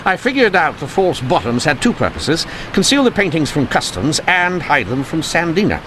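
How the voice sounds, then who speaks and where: An actor playing a witty, dogged 1890s police inspector in a wry, theatrical radio mystery style. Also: male voice, a little high, very animated, normal speed, noisy background. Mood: confident, accusing. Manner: wry, theatrical, formally polite. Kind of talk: old-time radio mystery acting.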